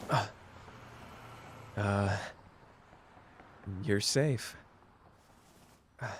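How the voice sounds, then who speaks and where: A man's voice: medium pitch, slight background noise. A man playing a teasing young detective. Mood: gentle teasing. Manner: playful, teasing, jumps between soft and excited. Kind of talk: ASMR roleplay.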